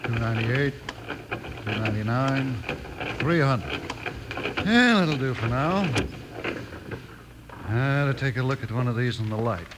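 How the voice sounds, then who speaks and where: An actor playing a sly, nervous small-time crook in an old-time radio western. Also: male voice, medium pitch, lively intonation, unhurried, pauses often, lightly acted. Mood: furtive satisfaction. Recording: noisy vintage radio recording.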